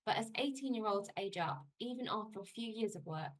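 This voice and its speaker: A woman giving a measured, prepared presentation. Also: mid-range voice, animated.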